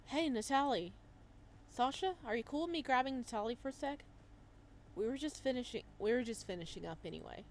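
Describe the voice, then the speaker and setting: Woman doing a caring upbeat gym worker in a visual novel, casual, kinda higher pitched. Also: lively intonation, brisk, a little hiss or hum. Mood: friendly, concerned.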